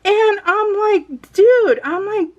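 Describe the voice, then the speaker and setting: A woman's voice: very high-pitched, slow pace, a little mellow, dull audio. A middle-aged American woman chatting casually as a vlogger, telling personal stories in a rambling, emphatic way with exasperated asides.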